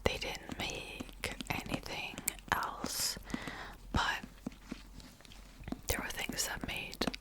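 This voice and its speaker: Slow whispering woman. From Czech Republic. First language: Czech. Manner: soft unvoiced whisper, rambling with small laughs. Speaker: ASMR creator. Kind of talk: whispered ASMR reading wrap-up.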